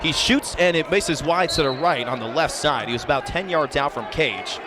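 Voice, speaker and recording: A man's voice: on the high side, normal speed. A man doing live lacrosse play-by-play on radio as a sportscaster, describing the action urgently. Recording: very loud crowd and arena noise. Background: loud game crowd.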